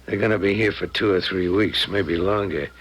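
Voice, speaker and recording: An American actor playing a hard, bossy small-time bank robber in a gruff voice, in 1970s radio drama style. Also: male voice, sits low, normal speed, a bit bright. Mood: bossy, irritated. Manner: gruff, domineering, colloquial.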